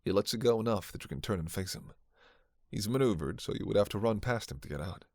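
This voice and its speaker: A man's voice: fairly low pitch, lively intonation, quick pace, a little mellow, no room sound, studio quality. A man narrating a scripted story in the second person. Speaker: narrator and performer.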